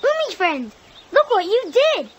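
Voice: extremely high pitch, very animated, a little slow, background noise, heavily acted. A child-voiced performer playing an eager, friendly little boy who gives enthusiastic praise and instructions in an educational cartoon game.

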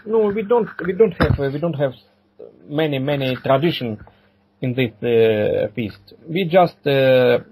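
A man, answering interview questions haltingly and repeating words and phrases. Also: mid-range voice, animated, a little mellow, slight background noise, low-quality recording. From Ukraine. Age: 40s. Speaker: podcast guest.

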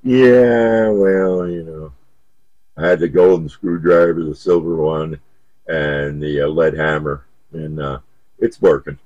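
A man's voice: rumbling low, fairly even intonation, slow, darkish tone, no echo, highs cut off. A man chatting casually over CB radio in an easygoing, folksy, hesitant way. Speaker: CB radio operator. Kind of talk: casual radio contact chat.

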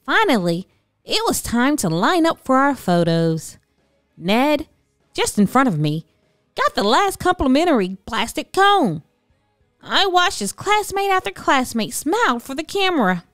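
A woman's voice: sits high, big pitch swings, a little slow, fairly bright tone, no room sound, studio quality. A woman reading a children's story aloud expressively, drawing out key words with theatrical emphasis. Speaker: storybook narrator. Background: background music.

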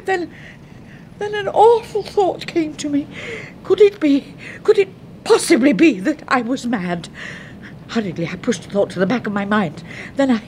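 A prim English spinster secretary, acted by a woman in an old radio horror play. Her voice is very high, prudish and overwrought, with a vengeful, unhinged edge. The vintage recording has audible noise. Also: normal speed, mellow, natural voice, poor audio. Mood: anguished. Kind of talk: 1970s radio horror drama acting.

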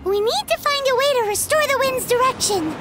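A woman voicing a tiny, bossy, chirpy fairy girl in a video game, with a squeaky childlike voice and perky, emphatic delivery. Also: animated, brisk. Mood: curious, perky. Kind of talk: cartoonish video game character acting. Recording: loud music behind the voice. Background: game music.

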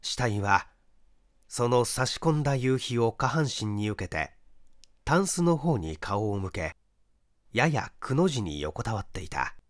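Male narrator for mystery audiobook: mid-pitched, highly expressive range, close dry recording. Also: measured pace, no echo. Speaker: audiobook reader, stage actor. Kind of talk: dramatic scripted narration of a mystery story.

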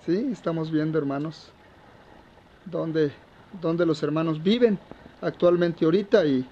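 A man's voice: on the high side, unhurried, mellow, dull audio, a little hiss or hum. A man reporting on a walking tour of a barn shelter, talking with many fillers and sounding indignant. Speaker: reporter touring a refugee shelter. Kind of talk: walking tour commentary and interview. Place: wooden cattle barn indoors.

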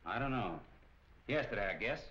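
A middle-aged actor playing a smooth-talking, cynical crime boss in a 1940s film, with a sardonic, casual manner. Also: male voice, on the low side, animated, normal speed, sharp tone, full character voice. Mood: suspicious. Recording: muffled, noisy 1940 film soundtrack.

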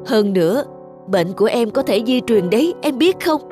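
Protective young husband, animated drama, female performer, agitated delivery with music underneath. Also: a little high, moderate pace, natural voice. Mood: anxious, exasperated. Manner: agitated, exclaiming.

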